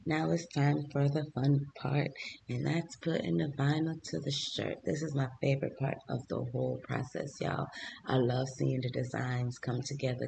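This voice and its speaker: A hoarse man, a small business owner and channel host, chatting casually to viewers in a vlog voice-over. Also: medium pitch, a little mellow, a little hiss or hum. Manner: chatty, talks straight to viewers.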